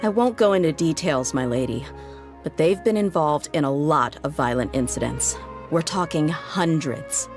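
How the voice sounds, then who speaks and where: A low-pitched woman mercenary from a fantasy game, blunt and weary of a hard life. She talks casually with a wry, colloquial edge. Music plays clearly behind her voice. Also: lively intonation, moderate pace. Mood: grim, scornful. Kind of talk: video game voice acting.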